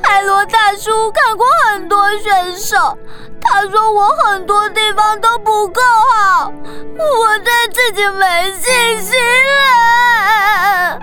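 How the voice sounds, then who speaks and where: Young dolphin swimmer: squeaky-high, slow, tearful, unsure of itself. Taiwanese accent. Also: female voice, steady tone. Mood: tearful self-doubt. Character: young dolphin swimmer, dejected and self-doubting. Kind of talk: children's radio drama acting. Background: background music.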